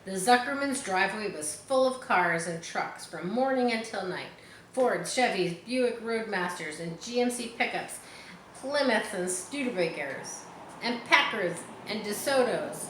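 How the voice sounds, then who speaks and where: A woman's voice: medium pitch, lively intonation, unhurried. A woman reads a children's story aloud in a mid-range voice. Her delivery is informal, like reading to listeners at home. The room echoes, with faint noise behind her. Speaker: storybook reader. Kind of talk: children's book read aloud with informal asides. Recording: roomy echo, faint background noise.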